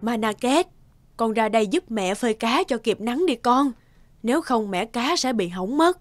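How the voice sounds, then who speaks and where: A woman playing a gentle elderly fisherman's wife and mother in a cartoon fairy tale. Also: a little high, animated, normal speed, faint music behind, natural voice. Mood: coaxing.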